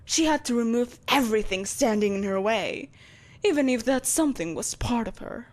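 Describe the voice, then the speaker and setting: A woman voicing an ancient, ageless sorceress empress in an audio roleplay, telling her tale in a grandiose, deliberate, condescendingly polite manner. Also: on the high side, expressive, darkish tone, close to an everyday voice. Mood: grandiose, theatrical. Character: ancient, ageless evil sorceress empress, haughty, ruthless, possessive. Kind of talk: theatrical dramatic monologue, audio roleplay.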